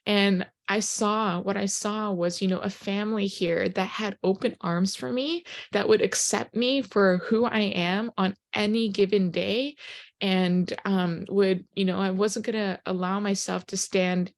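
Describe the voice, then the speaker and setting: A young woman whose first language is Japanese pitches a business opportunity in English with upbeat, earnest energy. Her voice sits at an everyday middle pitch. She fills her sentences with "you know" as she speaks. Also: fairly even intonation, unhurried, gravelly. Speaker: presenter on a business opportunity call. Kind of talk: motivational recruiting talk.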